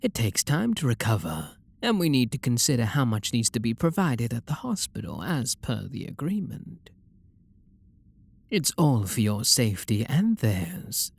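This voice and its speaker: A man acts out a refined British vampire lord, tender and possessive toward a lover. The voice is husky and slow, with lively swoops in pitch as it slips toward whispers. It plays as intimate, seductive ASMR roleplay. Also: dry recording, exaggerated voice. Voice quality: breathy, gravelly. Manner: soft, slow, seductive murmur with breathy whispers.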